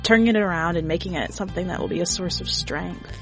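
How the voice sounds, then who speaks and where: A woman's voice: lowish voice, quick pace, over music, poor audio. A woman reading a short story aloud as a podcast host, in scripted narration.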